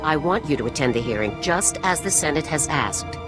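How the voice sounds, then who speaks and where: A stern, low-voiced general. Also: female voice, expressive. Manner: formal, measured, commanding. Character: stern, authoritative female military general. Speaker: commanding officer. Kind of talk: video game voice acting, briefing. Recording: music behind the voice.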